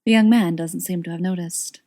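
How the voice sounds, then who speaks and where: A woman's voice: on the low side, fairly even intonation, brisk, dark tone. A woman reading a story aloud as scripted narration, in the manner of an audiobook reader.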